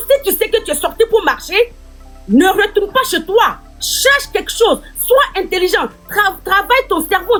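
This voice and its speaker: A woman's voice: very high voice, a bit bright. A woman, an activist making an urgent, exhorting appeal to march. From Togo. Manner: urgent, exhorting, repeats words. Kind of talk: rallying appeal.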